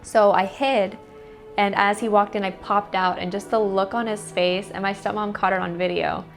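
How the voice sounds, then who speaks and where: A woman's voice: mid-range voice, steady tone, moderate pace, soft background music. A young American woman answering interview questions in a casual, chatty way, with lots of "like" fillers. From United States. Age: young adult.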